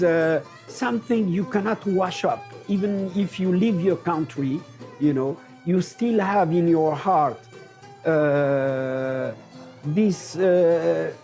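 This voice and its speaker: A Moroccan businessman whose first language is Arabic, answering interview questions in halting English full of fillers. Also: a little high, dark tone. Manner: halting, filler-heavy non-native English.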